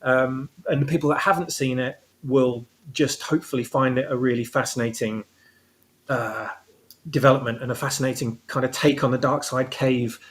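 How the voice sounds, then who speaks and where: A man's voice: medium pitch, steady tone, normal speed, fairly bright tone. A man talking casually as an interview guest, explaining his own story and rephrasing as he goes. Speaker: guest, audio drama writer.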